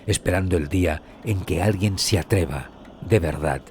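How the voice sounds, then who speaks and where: A man narrating a scripted mystery story in a solemn, dramatic manner with deliberate pauses and a husky voice. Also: deep voice, a little mellow, slight background noise. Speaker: podcast narrator. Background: background music.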